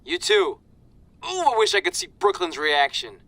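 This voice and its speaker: An actor in a video game cutscene playing a cheerful, goofy retired wrestler who now hosts TV, with an excitable, playful manner. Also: male voice, sits high, normal speed, no echo, full character voice. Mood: warm, pleased.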